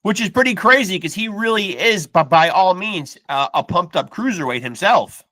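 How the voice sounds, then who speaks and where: A man hosts a boxing podcast in a laid-back, stammering style. His voice is bright and slightly high. The sound is close and dry, with no room echo. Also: expressive, normal speed. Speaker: podcast host. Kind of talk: casual interview.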